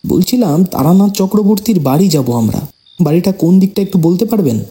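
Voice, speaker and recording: Casting: man acting inquisitive, polite friend for audio horror drama, lightish high pitch, dark soft timbre. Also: expressive, fairly quick. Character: polite, curious, respectful male friend and visitor.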